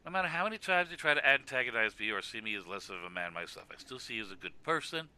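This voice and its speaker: A man's voice: mid-range voice, animated, quick pace, sharp tone, clear, resonant tone. A man acting a heartfelt radio co-commentator in a web cartoon, speaking in an earnest, emphatic, speechifying way. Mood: earnest, consoling. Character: loud heartfelt radio co-commentator consoling his rival. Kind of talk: animated web cartoon dialogue.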